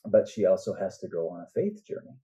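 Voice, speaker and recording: A man's voice: low-pitched, sing-song, a little fast, dark tone, muffled recording. A man speaking as a guest author in a casual interview, earnest and reflective as he explains his thoughts.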